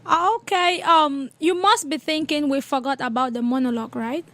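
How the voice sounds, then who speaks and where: A woman's voice: high-pitched, moderate pace, sharp tone. A woman hosting a radio interview, chatty and a little hesitant as she addresses her listeners. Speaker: radio host.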